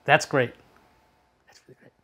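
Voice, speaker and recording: A man's voice: normal speed, fairly bright tone. A man in his thirties presents a tech product review in a casual, talkative way. He explains things step by step and puts rhetorical questions to the viewer. He is recorded indoors in a small room at home, a short distance from the mic. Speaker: tech show host.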